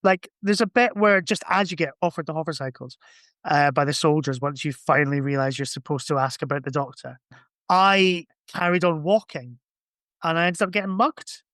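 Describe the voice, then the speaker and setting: A man's voice: on the high side, moderate pace, fairly bright tone, no room sound. A man in casual podcast conversation, thinking aloud in a rambling way. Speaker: podcast guest or co-host. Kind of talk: casual chat.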